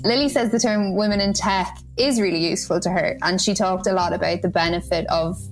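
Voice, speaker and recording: A woman with a mid-pitched voice co-hosts a podcast. She talks conversationally as she reports and comments, with faint music behind her. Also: fairly quick.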